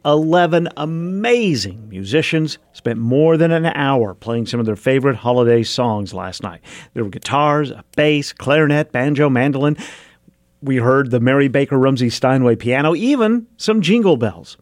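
A man's voice: mid-range voice, animated, moderate pace, dry recording. A man hosting a public radio magazine show, speaking close to a studio mic.

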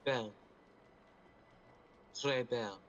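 An actor playing an awkward, earnest young clerk in a radio play, nervous and halting. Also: male voice, mid-range voice, muffled recording, slight background noise, close to an everyday voice. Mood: smitten.

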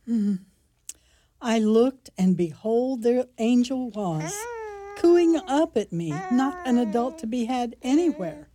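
A woman's voice: a little high, animated, slow, warm tone. A woman playing a fussing, pious nun in a radio play. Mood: fussing, wry. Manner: lilting, breathless, pious exclamations. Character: spry, fussing Irish-style nun, adult woman. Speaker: actor in a community radio play.

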